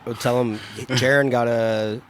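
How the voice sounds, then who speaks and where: A man's voice: medium pitch, steady tone, unhurried, slight background noise. A middle-aged man from Arizona, a chef, talking casually on a podcast in a blunt, wry way and swearing freely.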